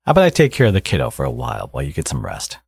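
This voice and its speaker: A man playing a smug, teasing supervillain and doting father in an intimate ASMR audio roleplay, with sardonic, drawling threats and a husky voice. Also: very deep voice, wildly swooping pitch, racing, no room sound, studio quality, full character voice.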